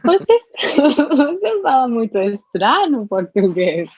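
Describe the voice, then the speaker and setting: A young woman, a native Spanish speaker, talking in an interview about learning Portuguese, with careful, halting speech and restarts. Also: fairly high pitch, big pitch swings, breathy edge, no room sound, muffled recording. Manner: slow, careful speech with frequent restarts and laughs. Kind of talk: casual conversational interview.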